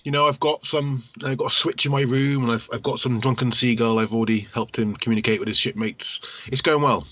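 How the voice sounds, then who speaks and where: A man's voice: mid-range voice, steady tone, fairly quick, few pauses, a bit bright, dry recording, muffled recording. A man co-hosting a podcast, chatting casually in a hesitant, joking way. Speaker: podcast co-host. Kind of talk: casual chat.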